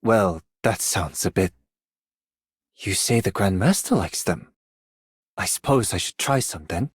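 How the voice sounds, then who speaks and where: A man's voice: sits low, animated, unhurried, slightly rough, no echo, clean studio sound, heavily acted. A man voicing a polite, earnest and curious knight in a video game. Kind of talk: video game character voice acting.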